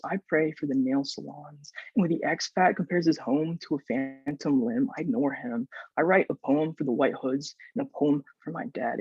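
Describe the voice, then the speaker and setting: A young man reads poems in a slightly high, gravelly voice. The recording is dull and roomy, like a video call. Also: mellow. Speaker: poet. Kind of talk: poetry reading.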